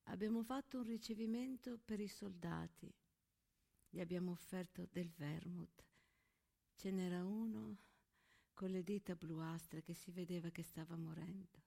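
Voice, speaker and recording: A woman playing a disillusioned, war-weary diarist at home, confiding in a hushed theatrical monologue. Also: medium pitch, vocal fry, voice pushed down.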